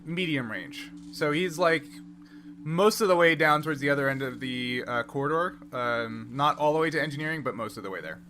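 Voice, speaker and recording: A man's voice: on the high side, fairly quick, sharp tone, a little hiss or hum. A man running a tabletop role-playing game, narrating and explaining rules off the cuff. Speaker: game master.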